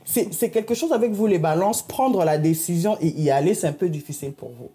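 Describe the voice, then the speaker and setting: A woman's voice: lowish voice, brisk. A woman hosting a community TV interview, giving encouraging astrology readings to her guests. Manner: warm, encouraging, animated. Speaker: host.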